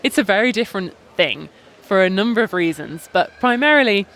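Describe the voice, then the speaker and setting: A British man hosting a podcast outdoors, talking in a measured, articulate way with small hesitations. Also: high voice, lively intonation, pushed and bright. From Britain. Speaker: podcast host and journalist. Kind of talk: casual podcast talk. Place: outdoors in a busy town square.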